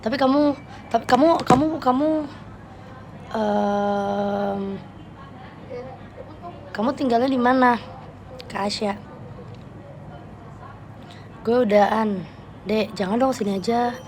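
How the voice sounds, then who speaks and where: A young woman with a Jakarta Betawi slang accent chatting casually with viewers on a live stream, playful and quick to laugh. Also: fairly high pitch, darkish tone, a little hiss or hum. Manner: chatty, playful, laughs often, switches registers.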